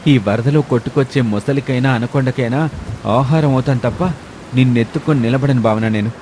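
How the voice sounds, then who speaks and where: A male narrator puts on a blunt young husband from a moral story. He sounds firm, matter-of-fact and exasperated. Rain and engine noise are clearly heard behind him. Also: medium pitch, brisk, natural voice. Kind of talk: Telugu moral story dialogue.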